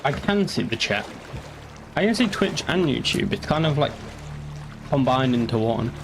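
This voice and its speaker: A man's voice: medium pitch, expressive, moderate pace, creaky, noisy background. A young man chatting casually while streaming a game, rambling and joking with the odd curse. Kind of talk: casual gaming commentary.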